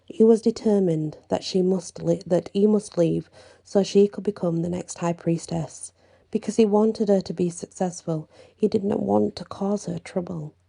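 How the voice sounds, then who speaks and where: A woman narrating a bedtime fantasy story in ASMR style, hushed and breathy, often slipping toward a whisper. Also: medium pitch, fairly even intonation, normal speed. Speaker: storyteller reading own fantasy fiction.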